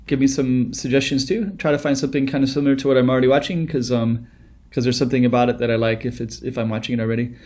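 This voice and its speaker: A man in his thirties chatting into a close webcam at home, reacting to a music video. His voice sits at an ordinary middle pitch and his talk wanders, full of "um", "like" and false starts. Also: little pitch movement, racing, darkish tone. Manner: rambling, with many fillers and restarts. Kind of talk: casual reaction commentary.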